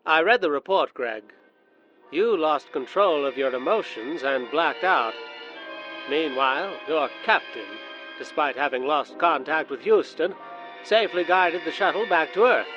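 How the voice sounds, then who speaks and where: An actor playing a calm, patronizing space agency superior in a comedic radio play, matter-of-fact and mildly scolding. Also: male voice, a little high, unhurried. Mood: reproving. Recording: muffled audio, faint music.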